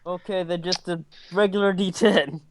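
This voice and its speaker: A man's voice: high-pitched, steady tone, moderate pace, dry recording. A man playing a tabletop game, chatting casually and hesitantly as he works out what to do. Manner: hesitant, unsure, fumbling for decisions.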